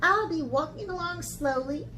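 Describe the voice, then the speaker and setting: A woman art teacher talking to young children in an expressive, encouraging way, as in a lesson or picture book read-aloud. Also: extremely high pitch, moderate pace, a little hiss or hum. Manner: expressive, encouraging talk aimed at young children, with dramatic gasps.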